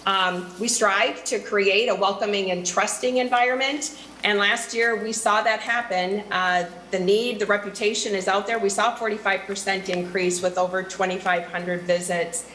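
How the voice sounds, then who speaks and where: A woman's voice: medium pitch, fairly even intonation, normal speed, a bit bright, a little hiss or hum. Earnest woman testifying in a roomy hall. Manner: earnest, fluent, with filler ums. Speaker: nonprofit director testifying. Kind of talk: prepared testimony.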